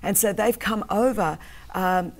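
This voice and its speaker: A woman's voice: medium pitch, a little slow. A middle-aged woman whose first language is Greek, telling an intense story as a guest on a studio talk show, gesturing emphatically. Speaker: guest, anti-trafficking campaigner. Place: TV studio set with sofas.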